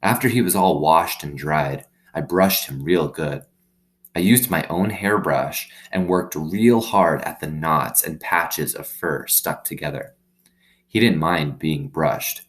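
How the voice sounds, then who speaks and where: A man reading a children's story aloud like a teacher, pausing to explain simply and check in with little questions. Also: a bass voice. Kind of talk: read-aloud with explanatory asides.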